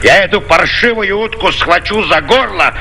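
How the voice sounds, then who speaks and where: An actor playing an angry, sneering neighbor with a Russian accent and a mock-formal, threatening way of talking, in 1940s radio comedy style. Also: male voice, high voice, animated, moderate pace. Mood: indignant, threatening. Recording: noisy vintage radio recording, crackly.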